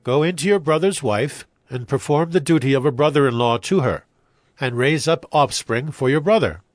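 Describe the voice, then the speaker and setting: A man's voice: mid-range voice, moderate pace, dry recording, studio quality, lightly acted. Man acting a stern patriarch, music behind. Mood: commanding. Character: Judah, stern male patriarch of ancient Canaan. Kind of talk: dramatized scripture audio acting.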